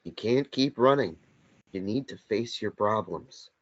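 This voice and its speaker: A man's voice: on the low side, animated, unhurried. A man in a script table read, playing a dry, blunt, confrontational companion who needles a captive. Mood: accusing. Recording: dull lo-fi sound.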